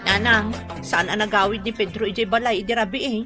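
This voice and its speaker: A woman's voice: medium pitch, steady tone, fairly quick, close to an everyday voice. A woman playing a nagging, proud, hardworking mother with an Ilocano accent in a radio comedy drama. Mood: plaintive. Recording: music behind the voice.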